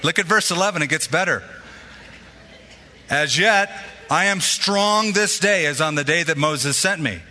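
A male pastor delivers a sermon with a very bright, forceful tone, and his voice rings out in a hall with a long reverb. He tells stories in a lively way and acts out the voices of the people he quotes. His voice sits somewhat high for a man. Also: a little slow, long pauses.

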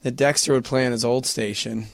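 A man co-hosting a radio show, playful, with quick reactions in casual banter. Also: medium pitch, steady tone, creaky, no room sound. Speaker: radio co-host.

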